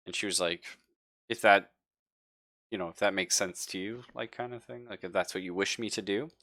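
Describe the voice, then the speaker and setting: A man's voice: lowish voice, moderate pace, bright, forceful tone, no room sound, clean studio sound. A man acting as game master, casually narrating a tabletop roleplaying session and thinking aloud as he goes.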